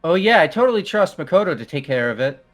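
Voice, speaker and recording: A man's voice: a little high, very animated, fast talker, faint music behind. A man voicing a dim, easygoing, exasperated young man in a game playthrough. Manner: incredulous, casual, earnest. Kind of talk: voiced visual novel game playthrough.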